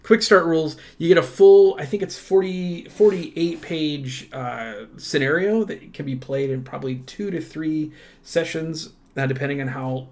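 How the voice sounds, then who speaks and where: A man presenting a channel with casual unboxing commentary, informal and enthusiastic, often halting as he goes. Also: a little high, a little mellow.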